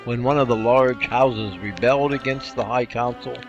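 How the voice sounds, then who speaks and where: Courteous, inquisitive starship officer, male actor, medium pitch, sci-fi audio drama over clear music. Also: moderate pace, highs cut off, natural voice. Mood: polite, inquiring. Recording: music bed under the voice.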